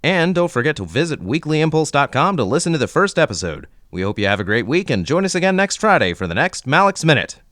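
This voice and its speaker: A man's voice: a little high, sing-song, fast, few pauses, a bit bright, dry recording, clean studio sound. A man hosting a playful scripted podcast interview with a wry, mock-formal manner. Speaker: podcast host.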